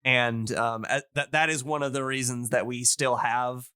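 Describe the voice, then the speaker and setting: Man, bright tone, clean resonant voice, casual podcast explainer, halting, rambling, lots of fillers. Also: medium pitch, fairly even intonation, clean tone, dry recording, clean studio sound. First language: English. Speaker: podcast speaker on old-time radio.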